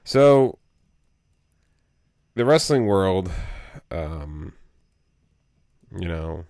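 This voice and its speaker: A man's voice: low voice, very animated, very slow, darkish tone, no echo. A man co-hosting a casual podcast in a small studio, sounding a little nasal and rambling with lots of fillers. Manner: rambling, full of you know and um. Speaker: podcast co-host.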